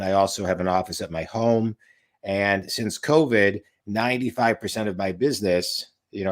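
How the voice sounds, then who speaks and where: A man in his late forties hosting an interview over a video call from a home office, talking in an emphatic, rambling way and repeating his points. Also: lowish voice. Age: 40s. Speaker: podcast host and business coach. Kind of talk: casual interview chat.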